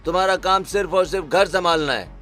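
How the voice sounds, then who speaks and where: A scolding cartoon husband voiced by a male narrator. He is stern and dismissive, fairly high in pitch, over faint music. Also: quick pace, fairly bright tone. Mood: angry, bossy. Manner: bossy, stern.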